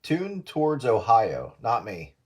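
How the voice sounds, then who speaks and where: A man's voice: medium pitch, huge pitch swings, slow pace. A man chatting casually as a hobbyist over CB radio. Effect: CB radio transmission. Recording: radio link with loud static.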